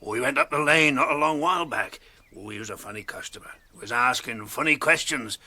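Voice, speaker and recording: An actor playing a gruff, hearty older hobbit farmer in a radio drama, folksy and wary of strangers. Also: male voice, medium pitch, a little fast. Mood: hearty, gossipy. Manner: rustic, folksy, chuckling, animated.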